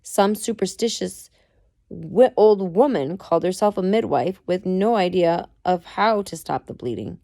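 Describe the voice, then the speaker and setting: A woman's voice: on the low side, gravelly, warm tone. A woman narrating a story aloud from a book, reading it expressively. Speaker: amateur audiobook reader.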